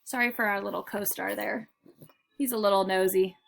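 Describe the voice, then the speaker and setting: A woman narrating a children's picture book in an expressive, deliberate storytelling manner. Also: medium pitch, creaky, faint music behind. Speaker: read-aloud storyteller.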